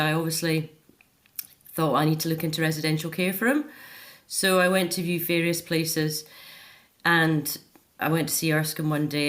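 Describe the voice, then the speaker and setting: Middle aged lady guest on radio, slightly low voice, chatty but reflective, candid and emphatic telling her own story. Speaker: interview guest, author. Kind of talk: candid personal account in a radio interview.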